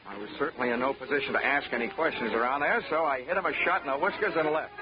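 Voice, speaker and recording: A man's voice: mid-range voice, very fast. A man narrating as a hard-boiled insurance investigator in 1940s radio drama, wisecracking in a dry, clipped manner. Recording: dull old radio recording with music.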